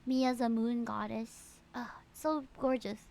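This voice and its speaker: High-voiced gushing woman streamer, Filipino accent. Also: unhurried, gravelly. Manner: gushing, excitable, reads game dialogue aloud. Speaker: VTuber livestreamer. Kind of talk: casual livestream game commentary. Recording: game music behind the voice. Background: game music.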